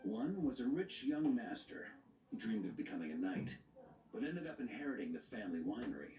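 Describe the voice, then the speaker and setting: An actor playing a stern knight grandmaster in a video game, measured and authoritative with a dry wit. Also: male voice, medium pitch, big pitch swings, dark tone, reverberant, distant mic, natural voice, poor audio. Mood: reflective. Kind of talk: dramatic video game voice acting.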